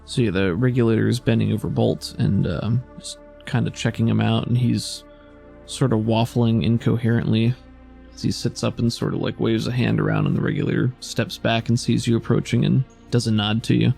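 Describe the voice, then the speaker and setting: A man narrating a tabletop roleplaying game as game master, improvising descriptions in a casual, halting way. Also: fairly low pitch, level tone, gravelly, mellow. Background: faint music.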